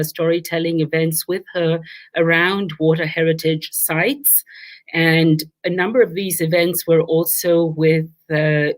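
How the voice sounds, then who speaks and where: A woman with a slightly low voice acts as moderator of an online talk. She introduces guests in a halting manner, doubling back on words as she goes. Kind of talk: introducing a speaker at an online event.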